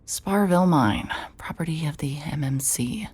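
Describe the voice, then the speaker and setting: A young woman narrating a horror audio drama in first person, tense and breathless, dropping to whispers and breaking into sudden shouts. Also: low voice, expressive, normal speed, breathy edge. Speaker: narrator and lead character.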